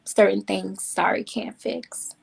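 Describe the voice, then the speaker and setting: Woman from Haiti, gravelly voice, mid pitch, guest author, candid and reflective. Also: steady tone, slow, low-quality recording. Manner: candid, reflective, speaks from personal experience. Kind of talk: talk show guest conversation.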